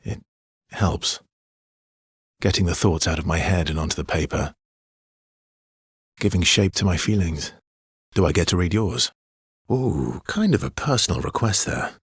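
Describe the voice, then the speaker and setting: An actor playing a weary, wry, gentle expedition wanderer in a video game, with a husky, gravelly voice and an understated, hesitant delivery. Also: male voice, low voice, animated, unhurried, long pauses, dry recording, clean studio sound, full character voice. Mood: playful, teasing. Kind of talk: video game dialogue acting.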